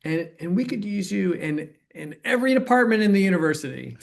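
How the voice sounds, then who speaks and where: A man's voice: sits high, expressive, brisk, gravelly. A man acting a hesitant, earnest program director. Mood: appreciative. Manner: hesitant, stammering starts. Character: earnest program director named Bob, near retirement, appreciative. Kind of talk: dramatized dialogue, natural conversation.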